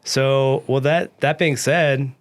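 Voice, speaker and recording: An American man hosts a casual interview, asking his questions conversationally. His voice is smooth and clean at a medium pitch. The sound is dry and close, with little room in it. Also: fairly even intonation, slow, no room sound. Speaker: interview host.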